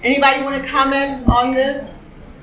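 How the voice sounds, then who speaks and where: A woman's voice: sits high, flat delivery, moderate pace, fairly bright tone, slight background noise. A woman hosting a wine tasting in a casual, enthusiastic way, asking the group questions as she goes. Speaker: host leading a wine tasting. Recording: echoey room with faint ambience.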